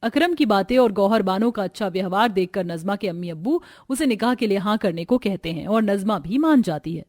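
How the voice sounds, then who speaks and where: A woman reading scripted narration for an animated family story, in a storytelling style. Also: a little high, no room sound, clean studio sound.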